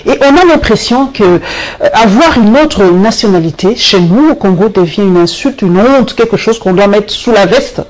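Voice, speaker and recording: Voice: mid-range voice, expressive, normal speed, poor audio. A TV interviewer pressing a guest with direct questions.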